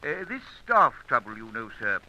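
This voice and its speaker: Sharp, fussy, deferential office underling. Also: male voice, mid-range voice, very animated, moderate pace, dull audio. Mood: apologetic, deferential. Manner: apologetic, formal, hesitant. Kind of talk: old-time radio serial acting.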